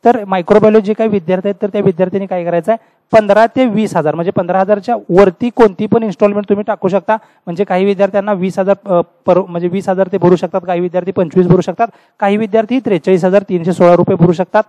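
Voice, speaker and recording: A man narrates a screen-recorded tutorial in a high, fast voice with a mellow tone. He keeps asking rhetorical questions and repeating each step for students. The recording is dry and close. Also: steady tone, no room sound. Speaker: tutorial host explaining university admission steps.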